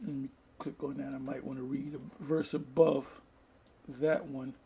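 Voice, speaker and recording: A man preaching informally online, reading aloud and commenting in a halting way, often restarting his phrases. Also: mid-range voice, flat delivery, creaky, crowd noise. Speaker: preacher reading articles and scripture to an online audience.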